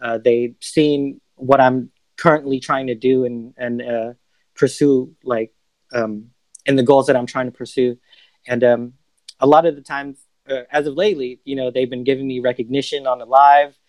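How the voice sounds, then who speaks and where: A man, a music producer, talking as a podcast guest in relaxed casual answers, with many ums. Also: mid-range voice, normal speed, no echo, poor audio.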